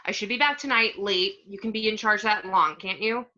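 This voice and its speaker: Woman acting anxious superhero mom, bright voice. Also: medium pitch, moderate pace, dull audio, lightly acted. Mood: brisk, worried. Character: anxious, take-charge superhero mother and pilot. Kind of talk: amateur online table read.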